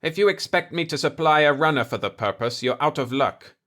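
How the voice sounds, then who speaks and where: A man's voice: fairly high pitch, animated, fairly quick, fairly bright tone, voice pushed up. Guy doing a grumbling blustery chief of state in a sci-fi story, indignant and itching for a fight. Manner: gruff, combative, complaining. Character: indignant, blustering cigar-chewing planetary chief of state, male. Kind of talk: dialogue in a sci-fi audiobook.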